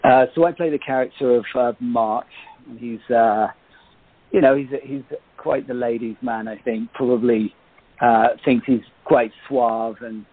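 A man's voice: medium pitch, steady tone, measured pace. Male interviewee, understated, tentative delivery, thin telephone audio. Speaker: guest, play cast member. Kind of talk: casual interview chat. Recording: tinny phone line.

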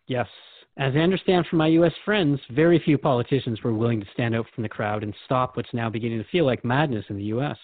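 A man's voice: medium pitch, fast, few pauses, no room sound, narrow, tinny audio, natural voice. A man playing a curious, earnest seeker questioning a spiritual guide in a conversational scripted dialogue. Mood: reflective. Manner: inquisitive, conversational.

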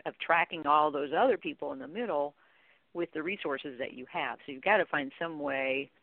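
A middle-aged woman with a gravelly, frying edge to her voice talks as an expert guest in an interview. She explains conversationally and informally. The sound is narrow and phone-like, with a dry, close character. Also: sits low, normal speed, dry recording. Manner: explanatory, informal, peppered with "you know". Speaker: guest, contact center training expert. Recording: narrow, tinny phone-like line.

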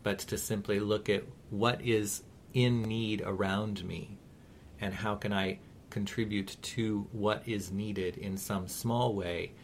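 A man co-hosting a talk radio show, speaking in an earnest, measured and encouraging, reflective way. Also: on the low side, fairly even intonation, a little hiss or hum.

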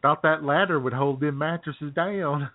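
A man's voice: fairly high pitch, measured pace, dry recording. Phone-like American man, casual radio banter. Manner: jokey, chuckles often. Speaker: talk show co-host. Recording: tinny, phone-like band-limited sound.

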